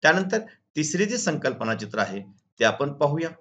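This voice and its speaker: A man's voice: on the high side, very animated, brisk, bright, forceful tone. A man from Maharashtra speaking as a teacher, formally and methodically walking students through exam questions and answers. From Maharashtra, India. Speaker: school teacher and video channel host. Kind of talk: instructional exam walkthrough, reading questions and answers. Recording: dull lo-fi recording.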